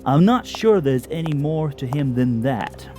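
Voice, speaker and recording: Man acting a mellow, theatrical villainous detective. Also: on the high side, lively intonation, unhurried, soft background music. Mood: sly, explanatory. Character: alien protoform villain posing as a brusque American police detective. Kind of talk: audio drama acting.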